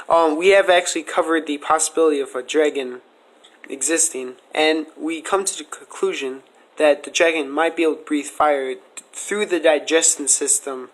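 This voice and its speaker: A man with a slightly high-pitched voice hosts a casual podcast conversation. He talks through speculative theories in an earnest, explanatory way, as in an informal question-and-answer session. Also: poor audio. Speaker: podcast host.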